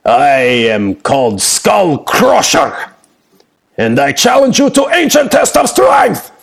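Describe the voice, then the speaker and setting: A man voicing a huge, proud goliath warrior who speaks in clipped, broken sentences and chants and booms his words. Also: lively intonation, takes it slowly, gravelly, full character voice. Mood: pleading. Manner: booming, chanting, clipped grammar.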